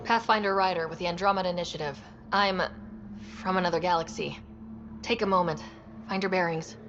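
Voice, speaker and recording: Woman playing an earnest, low-voiced young explorer. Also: steady tone, fairly quick, a bit bright, slight background noise, close to an everyday voice. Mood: calm. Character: earnest young female human space explorer leader. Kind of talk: cinematic video game acting. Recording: dull game audio with faint music.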